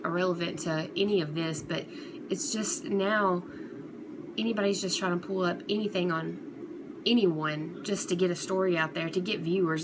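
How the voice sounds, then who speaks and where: A woman giving casual, unscripted opinion commentary as a vlogger, talking in a hesitant, rambling, conversational way. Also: on the low side. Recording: clearly noisy background ambience.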